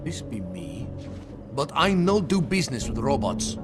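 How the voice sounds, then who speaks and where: An actor playing a gruff, distrustful hacker in a video game, speaking broken English. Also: male voice, medium pitch, sing-song, unhurried. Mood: surly. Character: surly, distrustful male hacker in a bar. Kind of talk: video game cutscene acting. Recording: music behind. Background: music.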